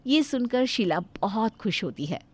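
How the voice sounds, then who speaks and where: A woman narrates a cartoon moral story. Her pitch moves a lot and she sounds slightly high, giving the telling an animated storybook feel. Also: moderate pace, slight background noise.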